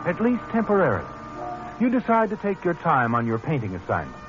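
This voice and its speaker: An actor playing a knowing, sardonic narrator of a 1940s radio mystery, in a polished, insinuating, dramatic manner. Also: male voice, a little high, wildly swooping pitch, fairly quick. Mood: sly, knowing. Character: knowing, sardonic male narrator addressing the schemer he watches. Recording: muffled old radio, music behind.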